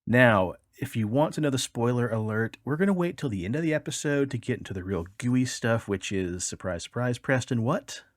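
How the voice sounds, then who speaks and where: A man's voice: mid-range voice, lively intonation, fairly quick, hardly any pauses, no room sound, studio quality. A man co-hosting a casual comedy podcast, chatting in a profane, jokey, suggestive way. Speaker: podcast co-host.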